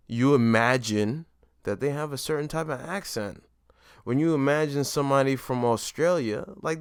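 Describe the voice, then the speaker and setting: A man's voice: medium pitch, clean tone, no echo, clean studio sound. A man hosting a solo podcast, talking casually and keeps checking in with "right?" and "you know what I mean?". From New York. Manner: emphatic, stresses key words. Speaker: podcast host. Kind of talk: solo motivational podcast talk.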